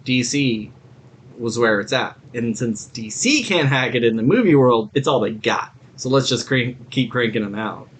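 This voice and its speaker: A man's voice: medium pitch, normal speed, slightly rough. A man co-hosting a podcast, giving his reviews in casual, informal chat. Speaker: podcast co-host reviewing movies and shows.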